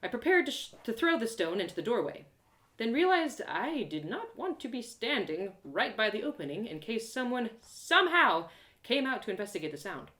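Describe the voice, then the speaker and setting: A woman narrating a first-person story in an animated, theatrical way, with dramatic pauses. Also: on the high side, reverberant. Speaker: storyteller performing an audio drama live.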